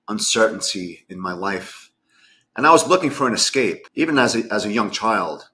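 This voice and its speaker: A man's voice: lowish voice, sharp tone. A man in his fifties with a New York accent, telling his personal story as an interview guest in a candid way with self-deprecating humor. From Queens, New York, United States. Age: 50s. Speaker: podcast guest, sober companion.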